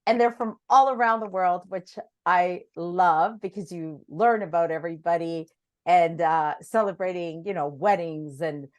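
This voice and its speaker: A woman's voice: fairly low pitch, unhurried, muffled recording. Enthusiastic woman hosting a podcast. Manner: enthusiastic, effusive. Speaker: podcast host. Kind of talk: chatty interview hosting.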